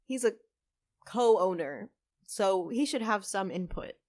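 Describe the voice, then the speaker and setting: An actress playing a kind, apologetic half-human cafe co-owner in a fiction podcast, gentle and hesitant in manner. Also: female voice, mid-range voice, expressive, takes it slowly. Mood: polite, calm.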